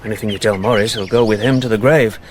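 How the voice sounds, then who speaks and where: A man's voice: on the low side, steady tone, quick pace, a little hiss or hum, lightly acted. An actor playing an affable, wry male solicitor chatting easily with a friend in a radio drama. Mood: helpful, assured. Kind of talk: radio drama acting, relaxed conversation.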